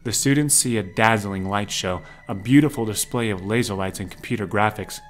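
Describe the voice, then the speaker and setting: Casting: male narrator for scripted drama, fairly low voice, reading scene descriptions. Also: a little fast, soft background music.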